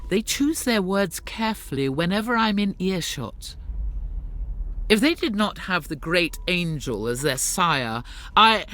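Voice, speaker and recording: An actress playing a stern, devout warrior sister in a video game, speaking formally and gravely with suspicion and zeal. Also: female voice, on the low side, expressive, measured pace, fairly bright tone. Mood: brooding suspicion. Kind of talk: dramatic video game acting.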